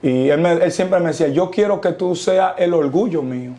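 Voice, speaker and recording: A young Dominican man who speaks with a preacher's cadence, slowing down to stress each idea. His voice sits slightly high for a man but sounds dark and mellow, with a gravelly fry at the ends of phrases. He quotes Bible verses at a deliberate pace. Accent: Dominican Spanish. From Dominican Republic. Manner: preacherly, quotes scripture, slow emphatic pauses. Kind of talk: testimony interview.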